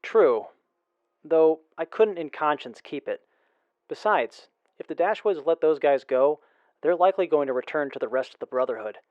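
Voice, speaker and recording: A man's voice: fairly high pitch, lively intonation, moderate pace, dry recording, dull audio, lightly acted. Man playing an earnest, dry-sounding wasteland traveler. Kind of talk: audio drama acting.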